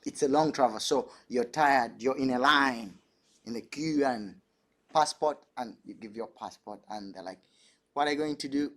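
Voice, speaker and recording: A man's voice: mid-range voice, normal speed. Laughing Ugandan male dancer on a panel. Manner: animated storytelling, laughs often. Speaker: dance artist. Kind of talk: panel discussion.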